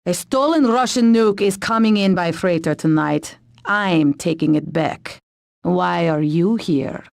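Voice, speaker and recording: A woman's voice: on the low side, a little slow, gravelly, dry recording, clean studio sound. An actress playing a tough, impatient female secret agent in a video game, curt and scornful. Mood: irritated, curt. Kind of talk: video game cutscene acting.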